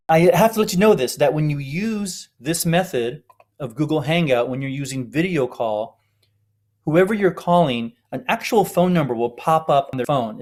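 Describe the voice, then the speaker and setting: A man's voice: on the high side, a little mellow. A man giving an informal, step-by-step tutorial narration as an instructor. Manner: explanatory, step-by-step.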